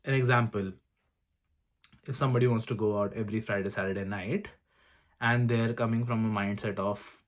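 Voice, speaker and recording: A man in his twenties, from India, giving earnest, casual advice to camera. He lists things one by one and fills pauses with frequent ums. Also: lowish voice, fairly even intonation. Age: 20s. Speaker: vlogger sharing advice. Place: room with awards on display.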